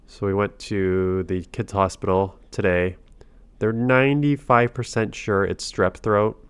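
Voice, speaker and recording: A sick man with a stuffy, nasal voice on the low side, talking through his game in a loose, casual way. He often cuts himself off and restarts his sentences. The sound is close and dry, with no room in it. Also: fairly even intonation, unhurried, clean tone, no echo. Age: adult father. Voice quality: nasal, congested. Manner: thinks aloud, breaks off and restarts. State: sick with a cold. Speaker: gaming channel host. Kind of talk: casual battle commentary.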